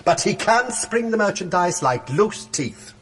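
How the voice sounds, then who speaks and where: Florid, pompous undertaker type, swooping high voice. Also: male voice, expressive, unhurried, a bit bright. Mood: pompous, jovial. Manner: theatrical, wordy, pompous, given to chuckling. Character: florid, jovial, pompous gravedigger boss who runs exhumations. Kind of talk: radio drama acting.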